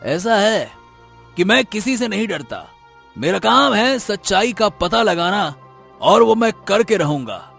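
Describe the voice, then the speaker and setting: Man playing a high, creaky, fearless hunter. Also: very animated, a little slow, fairly bright tone, soft background music, exaggerated voice. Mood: bold. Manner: bold, declamatory. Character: fearless, boastful royal hunter, adult man.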